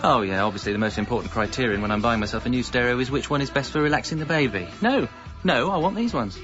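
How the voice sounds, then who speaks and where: A sarcastic husband played by a man bickers in a radio comedy scene. He talks very quickly in a mid-pitched, conversational voice, slightly muffled, with music behind. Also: lively intonation, close to an everyday voice. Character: husband bickering sarcastically at home, easily cornered. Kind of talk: scripted radio comedy dialogue.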